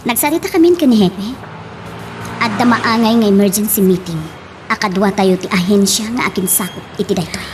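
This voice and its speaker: A woman's voice: medium pitch, normal speed, noisy background, close to an everyday voice. An actress with an Ilocano accent playing an earnest, insistent young policewoman in a radio drama. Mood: businesslike.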